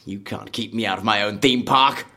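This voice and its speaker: An actor in an audio drama playing a vengeful, obsessive horror theme park designer, bitter and grandiose, with a husky voice. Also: male voice, fairly low pitch, moderate pace, bright, forceful tone, full character voice. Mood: gloating. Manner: brooding, bitter, grandiose, prone to outbursts.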